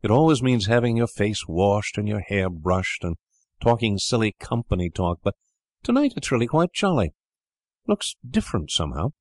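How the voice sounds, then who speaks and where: A man's voice: fairly low pitch, big pitch swings, moderate pace, no room sound, pitched above the performer's own voice. A man voicing a cheeky older boy who teases bluntly, in an audiobook reading. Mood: musing.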